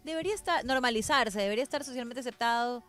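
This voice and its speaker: A woman's voice: fairly high pitch, fast talker, a bit bright, faint music behind. A woman co-hosting a casual chat show, repeating words for emphasis. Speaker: co-host.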